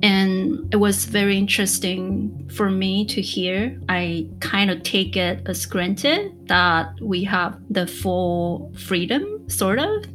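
Slow, measured woman from Taiwan. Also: mid-range voice, a bit bright. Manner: measured, thoughtful, slow explaining. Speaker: guest, media conservator. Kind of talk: podcast interview answers.

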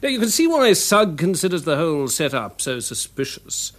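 Sensible english inspector in a radio play, fairly high voice, lively intonation, explains things drily. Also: male voice, moderate pace. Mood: explanatory. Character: sensible, dryly amused English police inspector, detective's friend. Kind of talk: radio drama acting.

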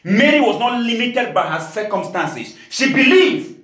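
Man from cameroon preaching, fairly high voice, big echoey church sound. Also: sing-song, measured pace. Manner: emphatic preaching, laughing asides, rising to shouts. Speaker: pastor. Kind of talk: sermon. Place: church hall.

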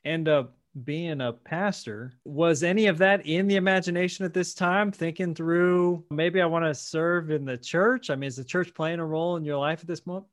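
Man hosting a relaxed interview, higher-pitched voice. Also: moderate pace, few pauses. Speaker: podcast interviewer and host. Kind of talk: conversational interview.

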